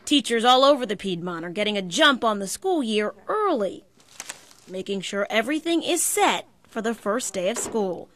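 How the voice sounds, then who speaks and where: A woman's voice: mid-range voice, very animated, moderate pace, fairly bright tone, a little hiss or hum. A woman TV news reporter delivering scripted narration.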